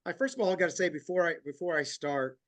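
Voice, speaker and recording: A man's voice: a little high, lively intonation, fairly bright tone. Nasal man presenting a webinar with a cold. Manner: halting, many fillers and restarts. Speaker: company president giving a presentation.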